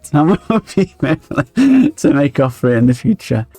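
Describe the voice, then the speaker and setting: A man streaming his poker games live, thinking aloud quickly and analytically as he explains each decision. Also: fairly high pitch, big pitch swings, mellow, faint music behind.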